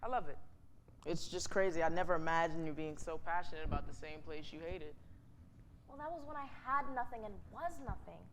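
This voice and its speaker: A woman's voice: on the low side, lively intonation, a little fast, natural voice. A woman acting a grown woman bantering fondly with an old friend in a staged reading, recorded from far off with noise. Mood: teasing, wistful. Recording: noisy, distant stage recording.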